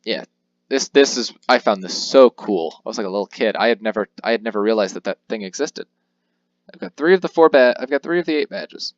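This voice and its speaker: A man's voice: on the low side, expressive, brisk, dry recording, highs cut off. Rambling young man narrating his game. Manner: rambling, self-deprecating, reads game text aloud in voices. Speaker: let's play host. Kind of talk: casual gameplay commentary.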